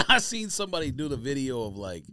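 A man's voice: medium pitch, big pitch swings, normal speed, bright, forceful tone, no echo, clean studio sound. A man co-hosting a sneaker podcast in casual banter, playful and full of slang. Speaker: podcast co-host reviewing sneakers.

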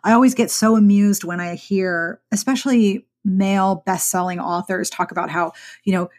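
A woman's voice: mid-range voice, fairly even intonation, normal speed, a little mellow. Enthusiastic woman hosting a casual interview. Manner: enthusiastic, slangy and informal. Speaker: podcast host.

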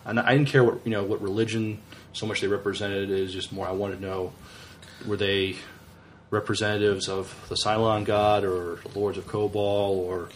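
A man co-hosting a podcast, chatting casually and haltingly, often repeating words as he searches for phrasing. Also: lowish voice, flat delivery, slight background noise. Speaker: podcast co-host. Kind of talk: casual chat reviewing a TV series.

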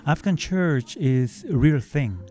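A man from South Korea, describing his ministry work in slow, careful speech. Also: on the high side, animated, dark tone, faint music behind. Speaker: ministry worker.

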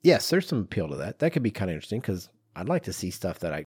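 A man's voice: low voice, sing-song, quick pace, a little mellow, breathy edge, no echo, studio quality. A man co-hosting a podcast in casual chat, rambling through hypotheticals and acting out imagined bits of dialogue. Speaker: podcast co-host.

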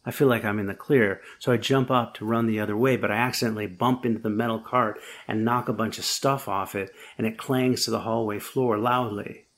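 Gravelly, husky man preaching. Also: fairly low pitch, fairly even intonation, fairly quick, few pauses. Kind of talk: sermon with first-person storytelling.

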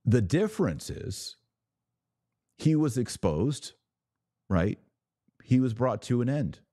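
A man hosting a podcast of religious commentary, speaking in an emphatic, didactic way with deliberate phrasing. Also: mid-range voice, sing-song, dark tone, no room sound, studio quality. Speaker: podcast host.

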